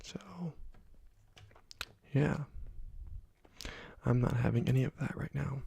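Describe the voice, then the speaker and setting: A young man hosting a gaming ASMR video, talking softly and slowly, often dropping to a whisper, with frequent ums. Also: medium pitch, vocal fry, slightly rough. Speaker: gaming ASMR channel host. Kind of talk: soft-spoken ASMR gameplay commentary.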